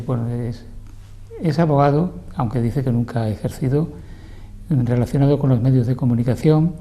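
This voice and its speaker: A man's voice: medium pitch, warm tone, low-quality recording. An older man hosting a local TV interview program, courteous and chatty, speaking in a studio. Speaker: TV program host. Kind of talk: interview host chat.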